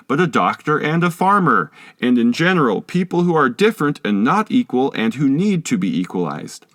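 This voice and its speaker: A man reading a philosophical text aloud as an audiobook narrator, in a measured, didactic manner. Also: mid-range voice, a bit bright, no room sound, clean studio sound. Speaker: audiobook reader.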